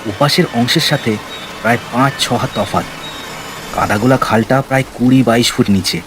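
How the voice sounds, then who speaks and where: A man's voice: medium pitch, moderate pace, over music. A man narrating a scripted horror story in a suspenseful, dramatic way. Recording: heavy rain and storm noise behind. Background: rain, storm effects.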